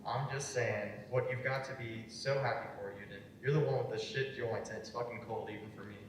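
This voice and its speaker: A man's voice: fairly even intonation, brisk, lightly acted. A man acting a young Soviet character in a dramatic script reading. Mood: grumbling. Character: grumpy, foul-mouthed young Soviet man. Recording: echoey hall, far mic, faint rumble.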